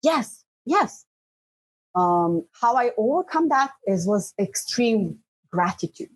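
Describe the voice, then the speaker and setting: A woman's voice: a little high, slow pace. Chatty woman guest, born in Slovenia. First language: Bosnian. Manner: lively, frequent "like" and "you know" fillers, laughs often. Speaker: podcast guest. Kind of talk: casual interview talk.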